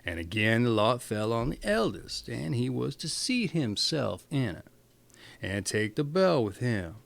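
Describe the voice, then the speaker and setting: A man's voice: medium pitch, sing-song, a little slow, vocal fry. A man reading a fairy tale aloud as a storyteller, with theatrical, emphatic delivery. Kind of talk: expressive scripted fairy-tale narration.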